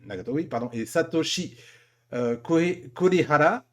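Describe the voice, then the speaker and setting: A man's voice: on the high side, moderate pace. Hesitant, informal male interviewer. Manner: informal, hesitant, stumbling over words and correcting himself. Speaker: interviewer and host. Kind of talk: casual podcast-style interview.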